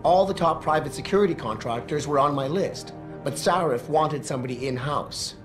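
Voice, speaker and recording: An actor playing a prickly, condescending corporate security tech expert in a video game, with a snide, sarcastic manner. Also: male voice, on the high side, moderate pace, lightly acted. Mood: bitter, needling. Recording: background music under the voice.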